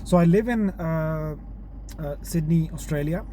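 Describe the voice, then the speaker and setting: Pakistani-accented man in his 30s, fairly high voice, halting casual talk, car noise clearly heard behind. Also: mellow. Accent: Pakistani English. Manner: halting, with many restarts and pauses. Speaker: IT project manager vlogger. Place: inside his car while driving.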